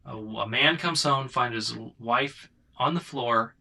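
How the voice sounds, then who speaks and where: A man's voice: medium pitch, slow, creaky, bright, forceful tone. A man co-hosting a podcast in casual, informal banter. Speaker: podcast co-host.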